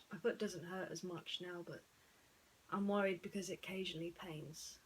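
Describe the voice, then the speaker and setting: A woman storyteller talking in a musing, unhurried way, with pauses, as in bedtime reading. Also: lowish voice, slight background noise, poor audio.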